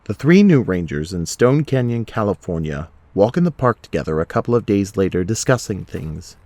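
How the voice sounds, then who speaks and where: Low-voiced male narrator, very dry sound. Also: big pitch swings, normal speed, a little mellow, no echo. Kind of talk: scripted audio drama narration.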